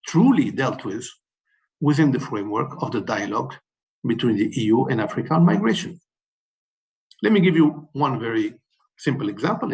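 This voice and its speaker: A man from Morocco speaking as a webinar panelist, setting out his points in a measured way with many fillers and restarts. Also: medium pitch, gravelly, rough recording. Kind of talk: policy talk, numbered key messages.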